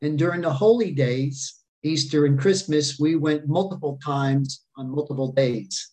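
A man in his fifties telling his personal faith testimony in short phrases, pausing for an interpreter. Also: a little high, darkish tone. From America. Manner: speaks in short phrases with pauses and fillers. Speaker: guest speaker sharing testimony.

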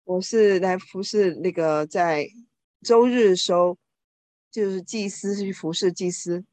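A woman's voice: fairly low pitch, a little mellow. Woman interpreting a speech live. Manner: quick, informal, self-correcting.

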